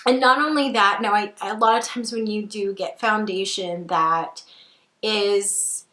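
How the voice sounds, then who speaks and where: A woman's voice: medium pitch, fairly even intonation, measured pace, bright, forceful tone. A woman in her twenties chatting through a makeup review, enthusiastic and a bit sniffly from a cold, with frequent ums. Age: 20s. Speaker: beauty vlogger. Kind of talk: casual makeup review chat. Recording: echoey room.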